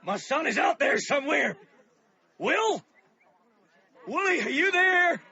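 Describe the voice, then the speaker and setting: A man's voice: very high voice, very animated, slow, vocal fry, pushed and bright, full character voice. A man playing a plucky, desperate father in a radio drama, calling out in a projected voice. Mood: bold, urgent. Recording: lo-fi, crowd behind.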